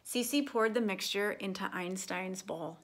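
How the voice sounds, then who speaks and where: A woman reading a children's picture book aloud as a storyteller, with expressive, deliberate phrasing for young listeners. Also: medium pitch, a little hiss or hum.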